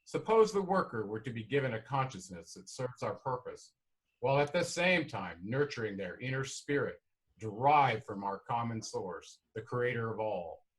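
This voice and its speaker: A man's voice: mid-range voice, animated, normal speed, lightly acted, poor audio. A man playing a lofty, self-assured alien god who lectures in a formal, philosophical manner in a screenplay read-through. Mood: reasoning, lofty.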